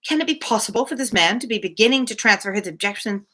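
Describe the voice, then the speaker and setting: A woman's voice: mid-range voice, fast. A woman hosting a live book reading, reading a classic novel expressively and slipping into casual, chatty asides. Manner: expressive, informal and chatty between passages.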